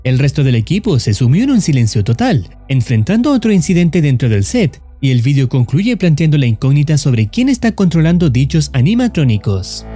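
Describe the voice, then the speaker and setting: A man narrates a horror tale in a steady, solemn voice, leaning on ominous words. His tone is mellow and dark, and his pitch rises and falls in a lively way. Faint music plays under his voice-over. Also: brisk, few pauses. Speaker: YouTube horror channel narrator. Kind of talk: scripted narration of a horror story.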